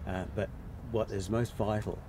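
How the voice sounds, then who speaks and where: A man giving measured interview answers as a filmmaker, with deliberate, rather formal phrasing. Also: on the low side, flat delivery, noisy background.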